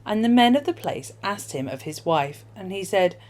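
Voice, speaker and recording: A woman reading scripture aloud as formal narration, in measured, solemn phrases. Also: mid-range voice, expressive, darkish tone. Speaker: Bible reader.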